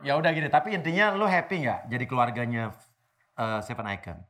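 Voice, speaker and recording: A man's voice: medium pitch, brisk, a bit bright. A man hosting a comedy variety show, teasing and questioning guests with a laughing, playful manner. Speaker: host.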